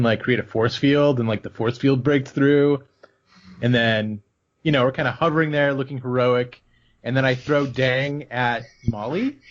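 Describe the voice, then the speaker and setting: Male tabletop player, mid-range voice, casual chat, slightly dull lo-fi audio. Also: mid-range voice.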